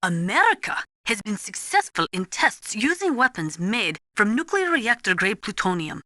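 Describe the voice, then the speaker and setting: A woman's voice: medium pitch, big pitch swings, normal speed, bright, forceful tone, dry recording, clean studio sound. A woman voicing a serious, knowledgeable nuclear weapons analyst in a video game, speaking formally and precisely in scripted, lecturing explanations. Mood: serious, explanatory. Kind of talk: scripted expository game dialogue.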